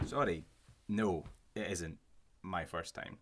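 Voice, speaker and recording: Actor as a deep, gravelly, nervous first date. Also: male voice, slow, background noise. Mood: tentative. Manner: awkward, apologetic, terse. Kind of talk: naturalistic audio drama dialogue.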